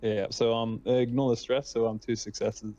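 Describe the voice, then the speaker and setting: A man's voice: mid-range voice, little pitch movement, normal speed, warm tone. A man playing a tabletop game, chatting casually with somewhat hesitant, apologetic phrasing. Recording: dull, muffled lo-fi mic.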